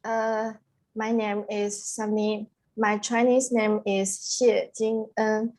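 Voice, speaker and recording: Woman, flat monotone, slow, modest little intro. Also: medium pitch. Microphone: video call.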